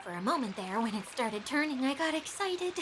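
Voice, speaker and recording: A woman's voice: sits high, very animated, brisk, noisy background. A woman voicing an earnest, self-doubting young female mechanic in a video game, polite and anxious. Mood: dejected. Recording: faint game ambience behind.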